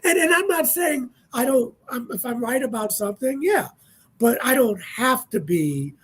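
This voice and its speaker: A man's voice: very high voice, lively intonation, normal speed, a bit bright, slightly rough. A man in his sixties, a panel guest speaking in a reflective, easygoing way on a casual radio talk show. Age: 60s.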